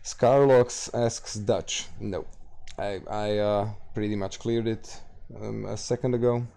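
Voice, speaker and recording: A Slovak-accented man hosts a gaming livestream late at night, chatting loosely with viewers. His voice sits low and mellow with a gravelly fry, and he stumbles through fillers and restarts as he reads out chat. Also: fairly even intonation, slow pace. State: tired, streaming late at night. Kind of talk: casual livestream chat, answering viewer questions.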